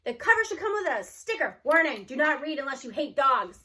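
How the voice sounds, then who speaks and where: A woman's voice: high-pitched, sing-song, a little fast, pushed and bright, full character voice. A woman voicing a blunt, dramatic eighth-grade boy in a storybook, with emphatic delivery. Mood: anguished.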